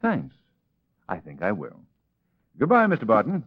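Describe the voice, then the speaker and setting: An actor playing a suave, wry amateur detective in an urbane, theatrical 1940s radio drama style. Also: male voice, on the low side, huge pitch swings, moderate pace, dry recording, exaggerated voice. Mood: smooth, amused. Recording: dull old radio drama recording.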